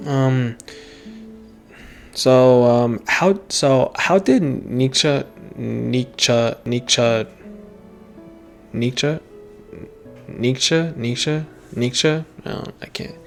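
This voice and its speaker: Slow, creaky-voiced game streamer. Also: mid-range voice, soft background music. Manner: halting, hesitant. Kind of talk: casual let's-play commentary, reading dialogue aloud.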